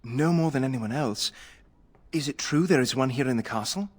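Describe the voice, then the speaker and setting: Guy acting polite eager young squire in a video game, normal male pitch, long hall reverb. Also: normal speed, close to an everyday voice. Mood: earnest, eager. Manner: courteous, earnest. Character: earnest, courteous young squire keen on heroism.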